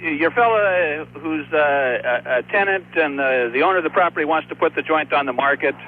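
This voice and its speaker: A man calling a radio show as a real estate agent, chatting casually and explaining things in an informal, halting, folksy way. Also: a little high. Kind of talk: casual phone call-in chat. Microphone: telephone. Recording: thin phone line, faint noise.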